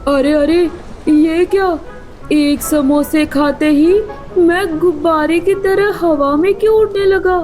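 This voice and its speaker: A woman's voice: extremely high pitch, fairly even intonation, slow pace, darkish tone, faint music behind, voice pushed up. Woman voicing a greedy bullying cartoon vulture. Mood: alarmed. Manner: demanding, menacing. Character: greedy bullying vulture demanding samosas, threatening.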